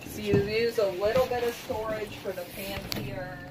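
A woman's voice: mid-range voice, fairly even intonation, moderate pace. Blunt woman, distant and noisy. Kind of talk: casual chat. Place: indoor convention hall.

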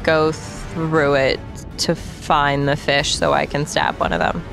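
A woman's voice: sits low, flat delivery, slow pace, low-quality recording. A woman chatting casually as a player in a tabletop roleplaying game. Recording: background music under voice.

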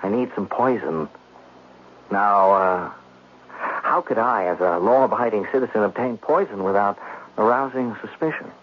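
An actor in a 1970s radio drama playing a cultured, scheming gambler with an articulate, evasive manner. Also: male voice, on the low side, normal speed, lightly acted. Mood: coolly calculating. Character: scheming, cultured gambler plotting murder, feigning bewildered innocence. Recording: muffled, noisy vintage radio recording.

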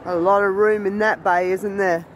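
A woman's voice: mid-range voice, flat delivery, moderate pace. A woman giving casual, admiring walk-along commentary for a vlog, musing aloud and asking rhetorical questions. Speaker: vlogger. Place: outdoor car wrecking yard. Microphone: handheld phone held while walking. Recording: windy, noisy outdoor phone recording. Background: wind noise.

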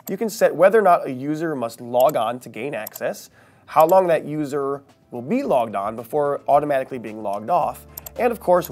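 A man in his thirties narrating a scripted software training tutorial, explaining step by step with emphasis on key terms. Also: mid-range voice. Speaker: training video presenter.

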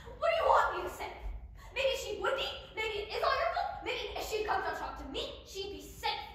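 Young woman as a sharp-tongued grieving friend. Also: very high-pitched, sing-song, a little fast, full character voice. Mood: bitter. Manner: defensive, cutting delivery. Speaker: stage actor. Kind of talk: live stage drama. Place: school auditorium stage. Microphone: far audience camera. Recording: echoey hall from far off, audience noise.

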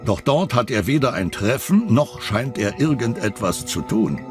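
A man's voice: mid-range voice, animated, normal speed. A man reading scripted narration for a true-crime documentary. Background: background music.

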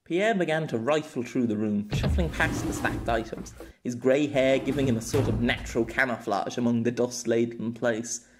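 A man's voice: mid-range voice, moderate pace, noisy background. A man reading scripted story narration expressively as an amateur audiobook narrator.

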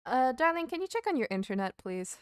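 A woman's voice: sits high, sing-song, fairly quick, smooth. A woman chatting casually and playfully while playing a game on a live stream. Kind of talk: casual gaming stream chat. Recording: game music behind voice. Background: game music.

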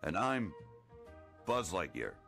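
A heroic, cautious space ranger toy in a video game. His voice is low and gravelly, with very animated swings. He speaks firmly, with authority. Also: slow, faint music behind, full character voice. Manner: firm, authoritative, wary.

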